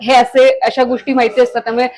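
A woman's voice: on the high side, little pitch movement, fast talker, a little mellow. A young woman from coastal Konkan, earnestly explaining her work in a conversational interview. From Sindhudurg, Konkan, India. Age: young adult. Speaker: playwright and theatre artist being interviewed.

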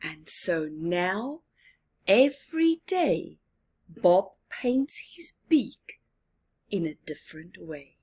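A woman storyteller in her forties reads to young children with a husky, breathy voice. She goes very slowly, leaving dramatic pauses, and her pitch moves in lively swings. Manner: deliberate, with dramatic pauses and emphasis. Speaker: storyteller reading picture books to children. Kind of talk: expressive read-aloud with asides to young viewers. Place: small living room at home.

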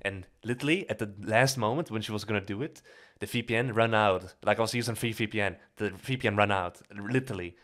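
A man's voice: fairly low pitch, quick pace, few pauses, fairly bright tone. A young man in his twenties with a Dutch accent, chatting casually on a live stream into a studio mic, rambling with lots of fillers and swearing. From Netherlands. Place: small dark room indoors. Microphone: studio mic on a boom arm.

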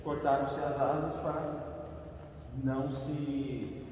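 A man's voice: medium pitch, level tone, slow pace, darkish tone. Brazilian guy giving a tour, explaining stuff, far from the mic in a big reverby stone space. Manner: explanatory, often checks with "né". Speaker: tour guide. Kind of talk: informal guided tour explanation. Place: large reverberant crypt indoors. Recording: very echoey stone crypt, distant, faint noise.

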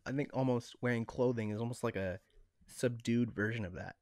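A man's voice: medium pitch, brisk, darkish tone, dry recording, clean studio sound. A man co-hosting a podcast in casual discussion, thinking aloud in a tentative way. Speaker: podcast co-host.

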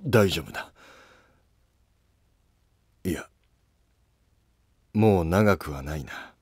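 A man's voice: low-pitched, expressive, darkish tone, breathy edge, dry recording, studio quality, voice pushed down, exaggerated voice. A man voicing an ailing old retired emperor, weary and solemn, in a historical drama. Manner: slow, solemn, lordly speech. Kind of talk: scripted historical drama dialogue.

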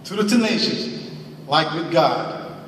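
A man's voice: on the high side, lively intonation, a bit bright, slight background noise. Earnest man speaking in an echoing hall. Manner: earnest, slow emphatic phrasing with thanks and faith. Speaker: hall of fame inductee. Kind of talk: acceptance speech. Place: large banquet hall, at a podium. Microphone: podium mic, recorded from far in the audience. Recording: echoey hall audio from far off.

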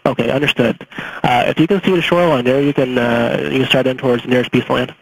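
Fast-talking male air traffic controller on radio. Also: mid-range voice, steady tone, creaky. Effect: aviation radio. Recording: faintly noisy air traffic radio recording.

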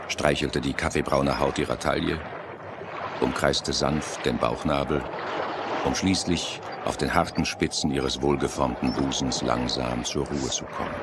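A man's voice: a bass voice, background noise. A man narrating a horror radio play dramatically, with an ominous storytelling manner. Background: loud music.

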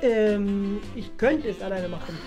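A man's voice: sits high, unhurried, highs cut off. A man in his twenties playing a bossy, smug little brother in a children's web sketch, wheedling and demanding in an exaggerated way. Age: 20s. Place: small furnished rooms, indoors. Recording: loud music behind the voice.

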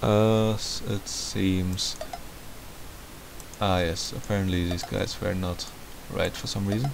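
A man gives a casual screen-recording tutorial in a very low voice with a lot of fry in it. He thinks aloud as he works through each step. A faint hiss sits behind his voice. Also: little pitch movement, takes it slowly. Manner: thinking aloud while working. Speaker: tutorial host.

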